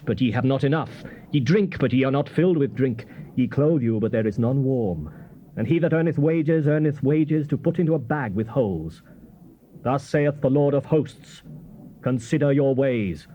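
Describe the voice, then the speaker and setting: A male actor plays a stern biblical prophet exhorting his people. His mid-pitched voice is grave and declamatory, with emphatic, measured phrases, on a dull, slightly hissy old tape. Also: mellow, natural voice. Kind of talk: dramatized King James scripture reading. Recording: muffled, noisy old cassette tape.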